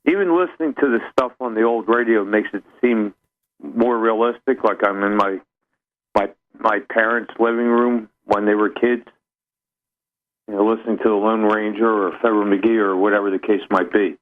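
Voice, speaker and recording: A man's voice: lowish voice, fairly even intonation, normal speed. A man from Pennsylvania phoning in to a radio show for a casual chat over a narrow phone line. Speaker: phone-in caller.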